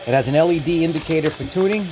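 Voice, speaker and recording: A man's voice: a little high, very fast, noisy background. A man giving a casual hands-on product review in a matter-of-fact way, listing details in short clipped phrases. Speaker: radio hobbyist reviewer. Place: indoors.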